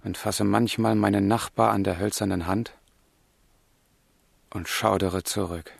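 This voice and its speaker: A sensitive, brooding young gentleman in a literary radio play. The voice is low and husky with a gravelly creak. The delivery is fervent and declamatory, in a very dry recording. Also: fairly even intonation, a little slow, no echo, exaggerated voice. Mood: brooding, anguished. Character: melancholy, sensitive young gentleman writing heartfelt letters. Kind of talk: literary radio drama acting.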